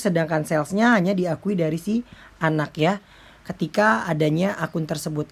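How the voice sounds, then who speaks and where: A woman giving an informal lecture as a teacher, explaining step by step with frequent check-in tags. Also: lowish voice. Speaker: accounting lecturer.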